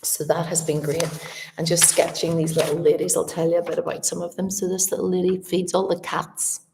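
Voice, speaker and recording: A Northern Irish woman talks straight to her live viewers in a relaxed, chatty way. Her voice sits low with a gravelly fry. The big old house she records in gives a long, echoing reverb. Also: fairly quick, a little hiss or hum. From Northern Ireland. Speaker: artist livestreaming to viewers. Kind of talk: casual live chat to viewers. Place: large old house, indoors.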